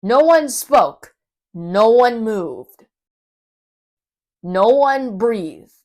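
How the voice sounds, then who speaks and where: A woman reading a children's story aloud as an expressive narrator, with dramatic, rhythmic and playful delivery. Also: a little high, drawn-out words, rough recording. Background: children's music.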